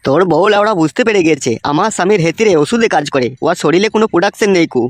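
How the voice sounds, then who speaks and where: A man's voice: sits high, animated, rapid-fire, no room sound. A man voicing a cheeky, foul-mouthed village friend in a comic cartoon, with a rural West Bengal accent and an insistent, teasing way of talking. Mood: blunt. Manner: crude, swearing, teasing and insistent.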